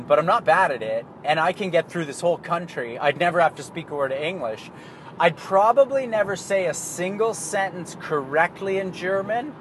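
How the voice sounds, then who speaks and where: A Canadian man talking in a casual, rambling monologue, swearing freely and laughing at himself. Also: on the high side. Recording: street and car noise behind.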